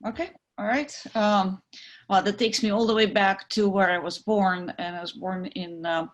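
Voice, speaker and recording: A middle-aged woman from Ukraine, a guest in an interview, talking with many pauses, fillers and restarts. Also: mid-range voice, steady tone, highs cut off. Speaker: guest, tech executive. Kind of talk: conversational interview.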